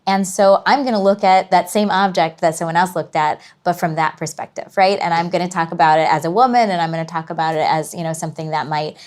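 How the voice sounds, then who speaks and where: Woman interview guest, museum curator type, voice a touch low, thoughtful and agreeable, conversational explaining. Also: fast talker, hardly any pauses, darkish tone.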